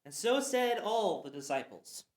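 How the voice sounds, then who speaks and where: A woman's voice: mid-range voice, very animated, a little slow, a little mellow. A woman narrating scripture for a church passion play reading. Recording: roomy echo.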